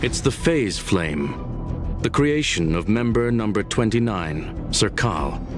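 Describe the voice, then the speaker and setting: A man playing a scholarly video game character who speaks in a measured, formal and wistful way. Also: on the low side, lively intonation. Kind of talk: scripted video game dialogue. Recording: loud music under the voice. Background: game music.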